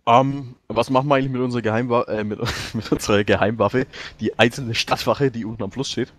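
Halting man thinking out loud. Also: medium pitch, fairly even intonation, no room sound. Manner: hesitant, many restarts and fillers. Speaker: player. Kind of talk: strategy discussion in a tabletop game.

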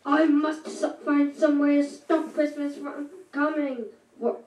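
Woman playing a scheming male Grinch, snarling, loud, echoey, distant. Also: sits high, level tone, measured pace. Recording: echoey room from far off.

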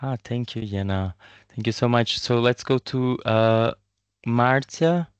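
A man's voice: mid-range voice, slow pace, clear, resonant tone, dry recording. A man hosting an online webinar, inviting participants to introduce themselves, with frequent fillers and a friendly, encouraging manner over a video call. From Brazil and Italy. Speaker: webinar host, community manager.

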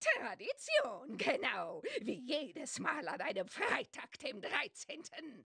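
A woman voicing a gleeful, scheming old witch with a shrill, creaky voice and exaggerated delivery in a children's game. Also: huge pitch swings, slow pace, pushed and bright, no echo, studio quality, exaggerated voice. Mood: smug. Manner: exaggerated, sing-song, cackling. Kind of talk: German children's audio-play acting in a game.

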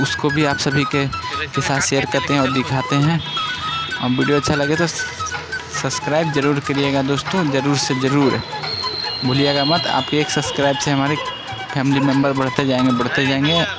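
A young man casually narrating a night motorbike ride through city streets, chatting with his viewers and asking them to like and subscribe. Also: medium pitch. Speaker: vlogger. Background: loud background music.

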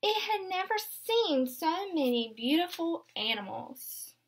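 A woman's voice: extremely high pitch, big pitch swings, slow pace, slight background noise. A woman in her twenties, a kindergarten teacher, reading a picture book aloud expressively and explaining it simply for young children. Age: 20s. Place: small furnished room indoors.

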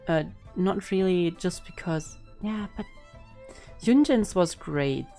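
Low-voiced young woman, casual reaction commentary. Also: expressive, slow, mellow. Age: young adult. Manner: informal, hesitant, thinking aloud. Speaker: reaction video host and streamer. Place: indoor room at home. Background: music.

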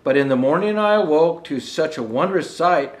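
An older man playing a jolly old Santa Claus, gently reading a rhyming storybook aloud with chatty asides. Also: on the high side, animated, moderate pace, natural voice. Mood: storytelling. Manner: gentle storyteller, showing pictures to listeners. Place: indoor room set with fireplace and tree. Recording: echoey room.

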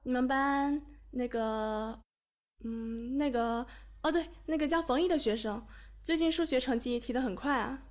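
A chatty schoolteacher acted by a woman with a high voice, talking in a campus radio drama. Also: measured pace. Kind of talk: amateur campus audio drama acting.

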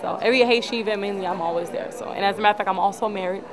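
A woman's voice: medium pitch, very fast. A woman in her twenties from the United States chatting casually to the camera. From Miami, United States. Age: 20s. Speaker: performer. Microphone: handheld camera close by. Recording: loud crowd noise behind.

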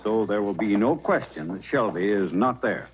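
An actor playing a fussy, careful, formal archaeology professor in a 1940s radio drama. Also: male voice, fairly low pitch, lively intonation, normal speed, natural voice. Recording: muffled, noisy 1940s radio recording.

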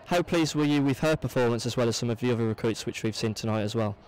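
A man's voice: mid-range voice, fast, a little mellow, smooth. A man interviewing for a football club, asking fluent, presenterly post-match questions.

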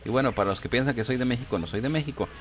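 A man's voice: mid-range voice, racing. A man chatting informally and hesitantly as a YouTuber, using slang and repeating himself. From Guatemala. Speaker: YouTuber answering viewer questions. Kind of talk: casual chat over gameplay footage. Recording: clear background noise.

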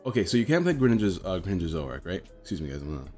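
Fast-talking stuffy-nosed young man. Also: low-pitched, big pitch swings, a little mellow, faint music behind. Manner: rambling, explanatory, addresses viewers as guys. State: stuffy nose. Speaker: card game streamer. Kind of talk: casual deck tech commentary.

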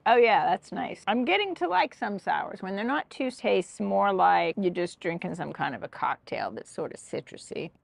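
A woman's voice: mid-range voice, big pitch swings, normal speed, vocal fry, hardly any pauses, no room sound. An older woman co-hosting a casual beer tasting vlog, chatty and descriptive as she reads out tasting notes, recorded on a camera at a table on an outdoor patio. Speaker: co-host.

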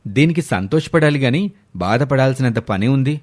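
A man playing a sensible, mildly exasperated husband in a family story, reasoning and gently chiding. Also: medium pitch, expressive, moderate pace, natural voice.

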